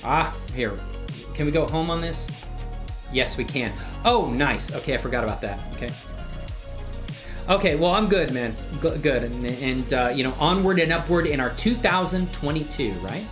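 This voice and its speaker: Female live-stream tutorial host, low-pitched voice, informal and upbeat, buddy-like with the chat, soft music underneath. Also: animated, moderate pace. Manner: upbeat, addresses viewers as man and bro. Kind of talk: casual live tutorial chat, thinking aloud.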